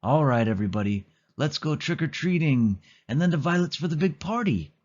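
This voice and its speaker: A man's voice: fairly high pitch, animated, a little fast, a little mellow, voice pushed up. Bossy little girl, acted by a man, scornful, exclamatory, storybook character, lo-fi sound.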